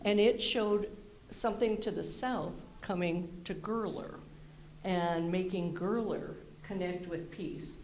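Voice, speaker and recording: A woman resident speaking loudly and directly at a public planning meeting, with a gravelly voice. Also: fairly low pitch, takes it slowly, slight background noise, rough recording. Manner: loud, direct, chatty. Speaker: local resident commenting. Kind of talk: public comment at open house.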